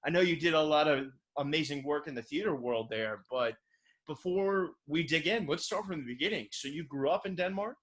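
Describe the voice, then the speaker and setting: A man's voice: on the high side, a little fast, a bit bright. A man hosting an interview podcast from his city apartment, talking in an eager, effusive way with many "like"s. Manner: enthusiastic, effusive praise, many fillers like "like". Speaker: podcast host. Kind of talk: casual interview chat.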